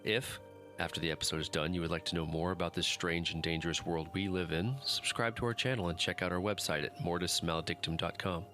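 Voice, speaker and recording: A male author-host delivers a scripted introduction to an audio fiction show. His voice is very deep, with faint music underneath. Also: steady tone, fast, pushed and bright.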